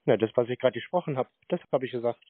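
Male prank caller, sarcastic and deadpan, heard down a tinny phone line. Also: medium pitch, rapid-fire, no room sound. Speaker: channel host trolling a scam caller. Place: on a phone call.

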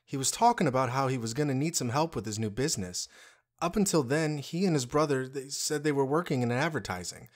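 A man's voice: medium pitch, lively intonation, fairly quick, lightly acted. A man voicing a plain-spoken former restaurant owner in a conversational re-enacted interview quote. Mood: plain recollection.

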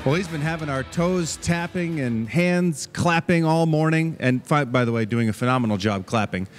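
A man's voice: on the high side, moderate pace, soft background music. Upbeat man hosting live TV. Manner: upbeat, chatty, joking. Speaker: TV news host. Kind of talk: live TV interview. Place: crowded fairground barn stage, indoors. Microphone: handheld mic.

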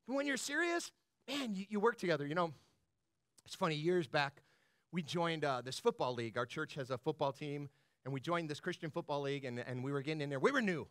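Guy pastor preaching, super animated up and down delivery, talks like your bro, dry close sound. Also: a little high, fairly quick, no room sound. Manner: animated, colloquial, punctuates points with "right?" and "bro". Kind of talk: conversational, motivational sermon.

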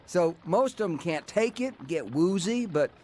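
A man's voice: high-pitched, expressive, a little slow, darkish tone, a little hiss or hum. A man playing a cocky young criminal in a radio drama, speaking in a brash, slangy way. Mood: cocky, confiding. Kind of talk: old-time radio drama acting.

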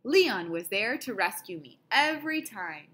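A woman reading a children's picture book aloud in an expressive style, with deliberate, dramatic phrasing. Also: medium pitch. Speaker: storybook reader.

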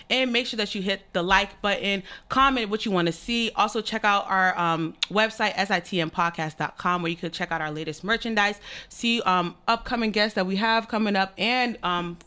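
A young woman hosts a podcast from a small studio mic, talking in a hyped, slangy way and laughing often. Her voice is bright and forward. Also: medium pitch, clean tone. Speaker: podcast host. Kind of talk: casual interview chat. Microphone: studio mic on stand.

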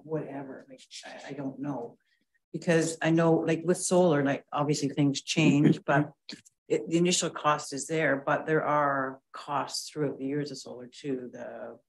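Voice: medium pitch, rough recording. A Canadian councillor on a video call, asking questions and trailing off with fillers. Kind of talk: council meeting discussion.

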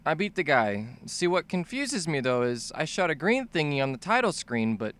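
Animated, bright-voiced man doing comedic game commentary. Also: a little high, normal speed, dry recording. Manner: profane, exaggerated, bouncing between mock dumb and excited shouting. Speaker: video game commentator, fan-dub voice-over.

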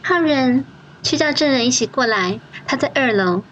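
A woman's voice: high-pitched, expressive, normal speed, a bit bright, muffled recording, a little hiss or hum. A woman playing a sly, menacing mistress of a house in an audio drama, mocking and commanding in a mock-sweet way. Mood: composed, commanding. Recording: background music under the voice.

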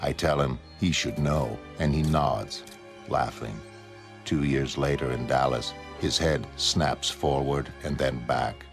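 A melancholy superhuman man with an extremely low voice. He is aloof and measured, stating everything matter-of-factly as in a comic-book narration. Music plays clearly behind him. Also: heavily acted. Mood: detached. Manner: measured, detached, matter-of-fact. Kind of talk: scripted motion comic narration.

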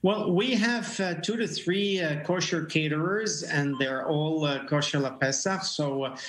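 Middle-aged man from Lebanon, remote guest, fairly high voice, halting delivery, echoey home room. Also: fairly bright tone. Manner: halting, many fillers and repeated words. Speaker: rabbi and interview guest. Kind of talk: remote news interview. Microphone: video call. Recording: echoey room on a video call.

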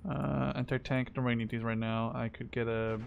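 A man's voice: medium pitch, little pitch movement, brisk, creaky, noisy background. Young man casually commentating a strategy game. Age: 20s. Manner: thinking aloud, muttering, casually profane. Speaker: gamer commentating own play. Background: game gunfire and artillery.

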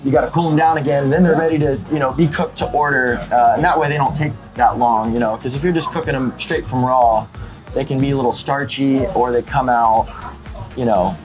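A young man talks through his restaurant's dishes in a relaxed, rambling way. He is recorded seated at a table indoors. Music plays clearly underneath, and his voice sits mid-pitched. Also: brisk. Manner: easygoing, rambling with many fillers and restarts. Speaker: restaurant owner. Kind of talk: casual interview about the menu.

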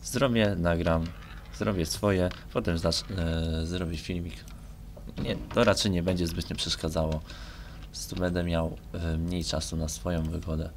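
Casting: young man, low voice, casual gamer commentary, hesitant and colloquial, noisy home mic. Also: fairly even intonation, a little slow. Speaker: gaming video creator. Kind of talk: casual rambling talk over gameplay. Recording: noisy home microphone recording. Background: noise with car engine sounds from the game.

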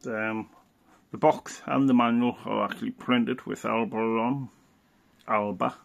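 A man's voice: on the low side, steady tone, measured pace, gravelly, highs cut off. A man giving an informal, unscripted hands-on demonstration, talking hesitantly and sometimes trailing off. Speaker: presenter showing vintage radio gear. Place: indoors at a worktop.

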